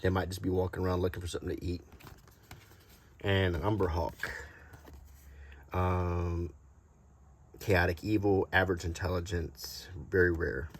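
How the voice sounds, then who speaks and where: A man presenting collectible cards in a casual, unscripted show-and-tell, halting and pausing often as he describes things. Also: deep voice, level tone, a little hiss or hum. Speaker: host presenting vintage collectible game cards.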